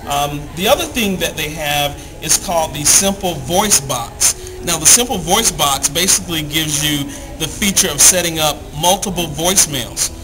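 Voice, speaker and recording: A man's voice: a little high, low-quality recording. A man speaking as a guest, explaining tools in an informal, plain-spoken, instructional way.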